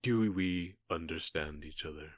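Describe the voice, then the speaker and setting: Casting: male actor for menacing gang boss in ASMR roleplay, deep-voiced, taunting and falsely polite, very close dry recording. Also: no echo. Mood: coldly threatening. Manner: mock-polite, taunting, deliberate pauses.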